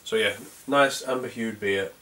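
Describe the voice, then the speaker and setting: Bright-voiced North Yorkshire man reviewing beer. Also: lowish voice, a little slow, slight background noise. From North Yorkshire, England. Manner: chatty and rambling, with frequent fillers and restarts. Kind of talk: casual video beer review.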